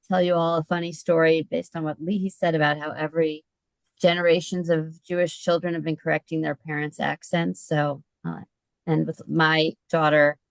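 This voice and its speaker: A low, gravelly-edged woman's voice hosts a book event over a video call. She is chatty and loose, with plenty of fillers and easy laughs. The sound is dry and close. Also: dry recording, poor audio. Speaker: event host and moderator. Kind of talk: casual moderating on a video call.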